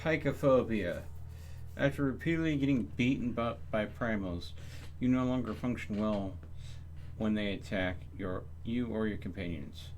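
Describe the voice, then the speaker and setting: A man's voice: on the low side, normal speed, soft background music. A man doing casual live game commentary, muttering his thoughts aloud as he plays. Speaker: video game streamer. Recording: loud game noise behind voice. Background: loud video game sound effects and ambience.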